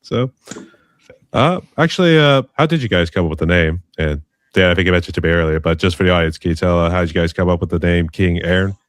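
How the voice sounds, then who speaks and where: A man's voice: deep voice, fast, dry recording. A man hosting a music podcast, asking loose, friendly questions packed with fillers. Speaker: podcast host. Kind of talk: casual interview hosting.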